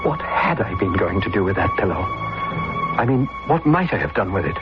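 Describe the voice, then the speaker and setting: Haunted weak-willed son, lowish male voice, brooding, confessional, radio drama, muffled recording, prominent loud music. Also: huge pitch swings, moderate pace. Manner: brooding, confessional, hesitant when challenged. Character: tormented, guilt-ridden, weak-willed son and lover. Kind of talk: dramatic first-person narration, radio acting.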